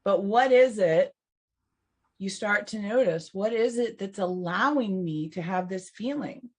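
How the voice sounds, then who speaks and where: Slow, reflective woman with halting speech. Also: mid-range voice, animated, a little mellow, husky. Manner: reflective, halting, restarts phrases, laughs between thoughts. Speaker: podcast co-host. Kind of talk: casual chat on recovery and spirituality.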